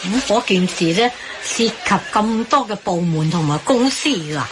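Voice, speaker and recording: An actress as an exasperated Hong Kong woman in radio banter, full of baffled exclamations. Her voice sits at a medium pitch, with clear noise in the recording. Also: expressive, moderate pace, natural voice. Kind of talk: Cantonese radio drama banter.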